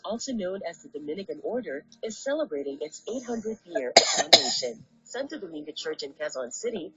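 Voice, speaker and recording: A woman's voice: fairly low pitch, fairly bright tone. A woman radio announcer reading scripted prayers, station credits and event notices. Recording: dull lo-fi radio aircheck. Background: music.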